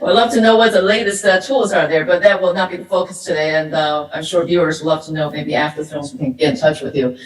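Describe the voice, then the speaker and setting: A woman's voice: deep voice, steady tone, fast talker, few pauses. Enthusiastic woman interviewer, echoey. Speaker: interviewer, host. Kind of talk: panel interview. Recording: echoey hall.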